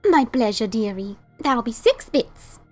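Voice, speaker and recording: Woman as kindly pony baker, high voice, over-polite, folksy, cartoon audio drama. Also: big pitch swings, measured pace, darkish tone, faint music behind. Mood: polite, eager.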